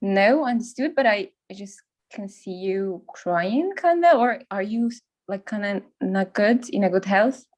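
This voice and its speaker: A young woman speaks in a halting learner's English within an online group chat. Her delivery is full of pauses, fillers and restarts as she searches for words. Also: mid-range voice, animated, a little mellow. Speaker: language learner in a discussion group.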